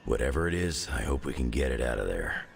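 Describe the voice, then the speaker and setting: An actor playing a gruff, wisecracking clawed mutant veteran in a video game cutscene, with a sarcastic, joking manner. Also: male voice, big pitch swings, quick pace, slight background noise, exaggerated voice. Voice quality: gravelly, very deep.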